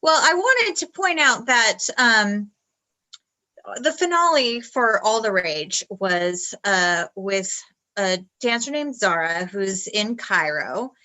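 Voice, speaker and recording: A woman with a bright, forceful tone co-hosts a live stream. She talks casually but haltingly, stopping and restarting her sentences as she goes. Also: medium pitch, animated. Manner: halting, frequent restarts and fillers. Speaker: co-host of a dance talk stream.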